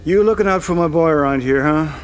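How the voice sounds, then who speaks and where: A man's voice: on the high side, slight background noise, lightly acted. An actor playing a sneering, foul-mouthed deadbeat father under questioning in an audio drama. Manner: foul-mouthed, drawn-out, goading.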